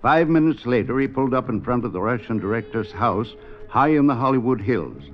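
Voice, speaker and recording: An actor narrating a 1950s radio suspense drama in a wry, measured storytelling manner. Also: male voice, mid-range voice, expressive, lightly acted. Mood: measured, suspenseful. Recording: dull 1950s radio recording. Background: dramatic music.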